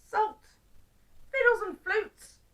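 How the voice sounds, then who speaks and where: A woman's voice: a little high, expressive, normal speed, fairly bright tone, far from the mic. Woman as a fussy little old man, exclaiming. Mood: indignant. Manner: exclamatory grumbling and talking to himself. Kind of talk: children's storybook character voice.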